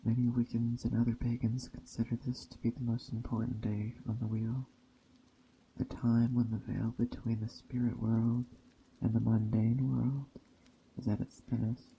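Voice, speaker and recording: A man's soft ASMR roleplay voice as a kindly witch host, extremely mellow and dark, with a creaky edge. He speaks slowly and hushed, pausing often. Faint forest and rain ambience sits behind him. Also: medium pitch, flat delivery, highs cut off, slight background noise. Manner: hushed, unhurried, with long pauses. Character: gentle, hospitable witch by a campfire.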